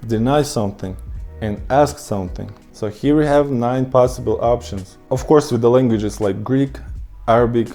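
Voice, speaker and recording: A man's voice: mid-range voice, moderate pace, mellow, soft background music. A man whose first language is Russian, explaining his language-learning method to camera in an upbeat, instructive way. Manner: upbeat, instructive, emphatic lists. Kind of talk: scripted talk to camera.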